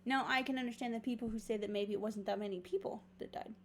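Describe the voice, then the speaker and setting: A woman's voice: on the high side, steady tone, quick pace, a little hiss or hum. A young adult woman co-hosting a podcast, chatting casually and asking her co-host questions. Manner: chatty, asks questions, steers the talk. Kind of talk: casual chat.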